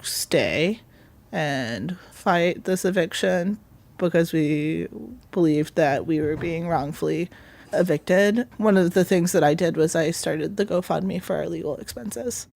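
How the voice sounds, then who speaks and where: A woman's voice: fairly low pitch, a little slow, vocal fry, darkish tone. A woman, a house resident, speaking conversationally in an interview.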